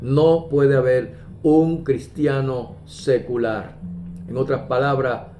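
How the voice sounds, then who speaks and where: A man preaching a sermon, measured and emphatic, pausing often and quoting scripture. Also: mid-range voice, steady tone, slight background noise. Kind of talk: slow deliberate sermon. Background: soft guitar music.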